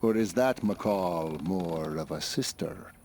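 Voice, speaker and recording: A man's voice: deep voice, a little mellow. A man playing a cool, taunting hired killer of the Old West in a video game cutscene. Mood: coolly menacing. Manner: unhurried, taunting. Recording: faint ambient noise behind the voice.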